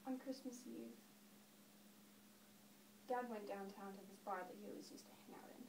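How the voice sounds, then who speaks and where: A woman playing an upset woman recalling her childhood in a dramatic stage monologue, emphatic and with deliberate pauses. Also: medium pitch, steady tone, vocal fry, lightly acted. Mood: subdued. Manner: emphatic, storytelling with deliberate pauses and swelling stress. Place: echoey indoor room, recorded from a distance. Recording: echoey room, distant mic, noisy.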